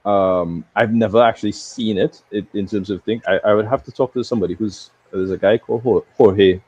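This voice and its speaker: A man's voice: fairly low pitch, steady tone, brisk, a little mellow, slightly rough. A man co-hosting a live football stream in a casual chat, laid-back and thinking aloud. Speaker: co-host of a live football watch-along stream. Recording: dull lo-fi stream audio.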